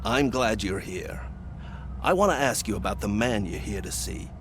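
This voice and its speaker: A smug prison warden, smooth and self-satisfied, speaking in measured phrases with lively intonation. He sounds like a video game cutscene character. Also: fairly low pitch, slight background noise.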